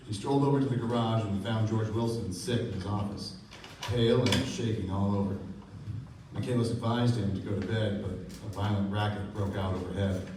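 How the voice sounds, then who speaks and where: A man's voice: fairly low pitch, lightly acted. A man playing a sober, reflective narrator in a radio play, recorded at a distance with strong echo and faint noise. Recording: echoey, noisy stage recording from far off.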